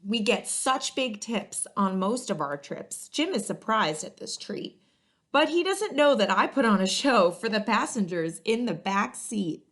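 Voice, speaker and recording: A woman hosting a children's read-aloud, speaking in clearly phrased storytelling style. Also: mid-range voice, very animated, a little slow, a bit bright. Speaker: museum educator and read-aloud host. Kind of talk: reading a children's picture book aloud.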